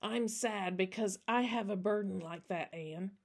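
A weary old storybook gentleman, acted by a woman reader, kindly but weighed down. He talks in a grave, halting hush, like someone carrying a burden. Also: medium pitch. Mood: sorrowful.

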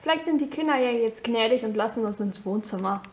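A woman's voice: fairly high pitch, animated, a little fast, slight background noise, natural voice. A young female speaker voicing a cheerful thirty-three-year-old housewife who hosts a family vlog in a homemade toy-figure film. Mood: chatty cheerful. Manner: chatty, casual tour-guide talk. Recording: roomy echo.

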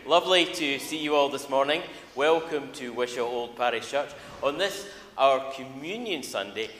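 An older man leading a church service as minister, measured and projecting to a congregation in a large church. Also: mid-range voice, a bit bright. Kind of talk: church service welcome and scripture reading. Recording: echoey church hall, background noise.

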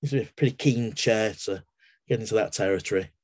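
A man's voice: lowish voice, fairly quick, no room sound, studio quality. Chatty English man on a webcam call. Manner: chatty, digressive, with everyday analogies and frequent restarts. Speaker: webinar guest speaker, exam assessor. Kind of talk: casual explanatory talk in a webinar. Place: home study with bookshelves. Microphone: laptop webcam on a video call.

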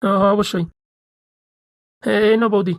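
A woman voicing a gullible, soft-hearted henpecked man in a comedy cartoon, with an earnest, fussing manner. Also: medium pitch, steady tone, slow, gravelly, dry recording, clean studio sound. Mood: concerned, consoling.

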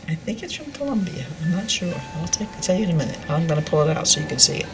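A woman's voice: fairly low pitch, big pitch swings, fast, noisy background. An older woman chatting casually and unscripted as she gives a home tour, meandering and correcting herself as she goes. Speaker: dollhouse owner giving a tour. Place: indoors at home, filming a dollhouse.

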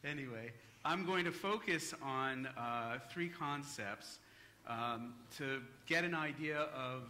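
A middle-aged man, a native English speaker, giving a relaxed conference talk at a podium with frequent fillers. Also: medium pitch, a little hiss or hum. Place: hotel conference hall. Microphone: podium microphone.

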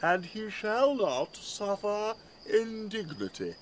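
Guy acting a bossy drunk lord, slow and all over the place, shakespeare comedy, bit of background noise. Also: high-pitched, sing-song, heavily acted. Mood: drunken, bossy. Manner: pompous, commanding. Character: drunken, swaggering, bossy self-made island lord.

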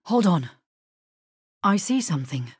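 A woman playing a grave, resolute necromancer hero in a video game, husky-voiced, speaking in a measured, solemn and terse way. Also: fairly low pitch, very animated, a little mellow, no room sound, clean studio sound, full character voice. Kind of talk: scripted video game character lines.

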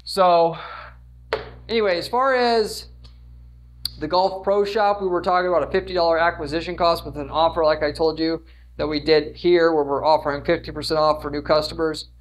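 Male marketing instructor, thirties, high-pitched voice, emphatic and informal explainer delivery. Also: steady tone, moderate pace. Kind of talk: informal whiteboard lesson, explaining to camera. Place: indoors in front of a whiteboard. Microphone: lapel mic.

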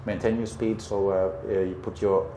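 A middle-aged man calmly coaching a pilot step by step in a flight simulator, encouraging and full of pauses and fillers. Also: fairly low pitch. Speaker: flight instructor. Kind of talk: patient step-by-step instruction. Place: indoor flight simulator dome. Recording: roomy with background hum.

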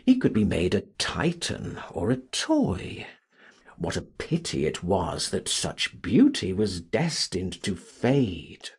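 A man reading a literary audiobook as a dramatic narrator, in a theatrical, expressive and deliberate manner. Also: deep voice, slightly rough.

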